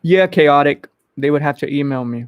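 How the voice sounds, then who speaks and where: A man from the Bronx, hosting a casual livestream and thinking aloud in an informal, halting way. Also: on the high side, smooth, dry recording. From Bronx, New York. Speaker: host of an online entertainment interview channel. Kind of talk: casual livestream chat answering viewers.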